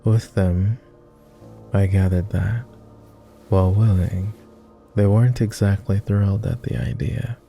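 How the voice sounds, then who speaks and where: A weary, self-loathing male vampire, acted by a man for an ASMR roleplay, speaks in a deep, dark murmur with very little melody. His courteous, formal words come hesitantly and softly, with long-lived reserve. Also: steady tone, creaky, faint music behind. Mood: resigned, reserved. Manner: formal, measured, hesitant with pauses. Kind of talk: intimate ASMR roleplay acting.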